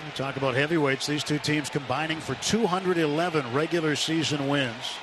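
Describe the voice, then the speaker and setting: A man does live baseball play-by-play for radio in a fairly high male voice. He describes the action as it unfolds at the ballpark, with loud stadium noise behind him. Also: fairly quick. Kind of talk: live radio sports commentary. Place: stadium broadcast booth. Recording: noisy outdoor ballpark broadcast sound. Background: loud ballpark ambience.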